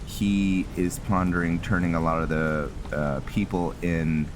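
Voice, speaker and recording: A man with an extremely deep voice talks through a game he is playing. His commentary is casual and rambling, hesitant as he thinks aloud. There is clear noise and ambience behind him. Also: flat delivery, unhurried. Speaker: gaming host, roleplayer.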